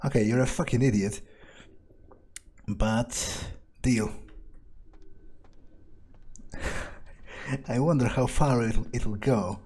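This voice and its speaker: Dark, husky man musing in character. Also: medium pitch, very slow, pauses often, faint music behind. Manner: casual, sweary, musing. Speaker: gamer playing in character. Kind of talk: improvised in-character game commentary, thinking aloud.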